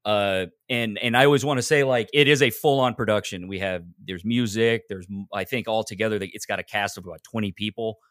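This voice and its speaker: A man's voice: lowish voice, a little fast, few pauses, a bit bright, no echo, studio quality. An American man chatting casually as a podcast guest, rambling a little with self-deprecating humor. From Texas, United States. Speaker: podcaster and audio drama maker, guest. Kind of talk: casual interview chat.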